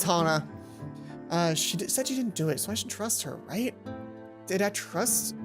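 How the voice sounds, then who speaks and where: A woman hosting a let's play, reading a visual novel aloud and adding chatty, informal, animated asides. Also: medium pitch. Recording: music behind the voice. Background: game music.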